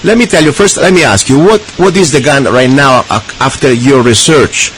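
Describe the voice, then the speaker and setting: A man's voice: mid-range voice, moderate pace, fairly bright tone, slight background noise, low-quality recording. Chatty male radio host. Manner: chatty, with frequent restarts and fillers. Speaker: radio talk show host. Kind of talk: casual radio interview.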